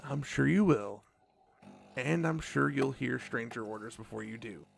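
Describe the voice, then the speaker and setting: Very gravelly, protective fey sibling. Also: female voice, a bass voice, a little slow, full character voice. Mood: earnest. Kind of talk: audio drama dialogue.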